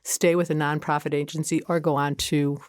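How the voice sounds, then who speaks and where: A woman with a Chicago accent, a college community engagement coordinator chatting as a guest in a casual interview, folksy and quick to laugh. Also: low voice, little pitch movement, clean tone, dry recording, studio quality.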